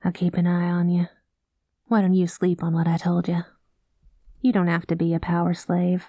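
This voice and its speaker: A woman playing a rogue space pilot in an ASMR roleplay, talking close to the mic in a soft, folksy cowboy drawl that drops into whispers. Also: fairly low pitch, monotone, moderate pace, no echo. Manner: soft-spoken, slips into whispers. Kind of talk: ASMR roleplay, spoken to the listener.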